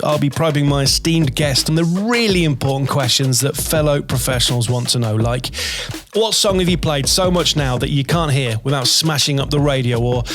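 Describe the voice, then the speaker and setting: Upbeat male podcast host over faint music. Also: medium pitch. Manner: upbeat, laughs often, stumbles over questions. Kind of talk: scripted intro then casual interview.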